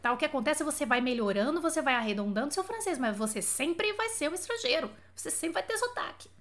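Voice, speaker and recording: High-voiced chatty Brazilian teacher. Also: female voice, expressive, quick pace, fairly bright tone. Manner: chatty, asks for feedback with frequent "tá?". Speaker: French teacher. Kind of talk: live-streamed language lesson. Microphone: live stream setup.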